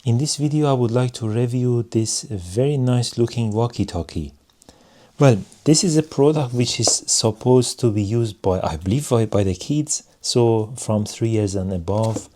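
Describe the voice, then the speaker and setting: A man giving a casual hands-on product review in measured, non-native English phrasing. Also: medium pitch, warm tone. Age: adult.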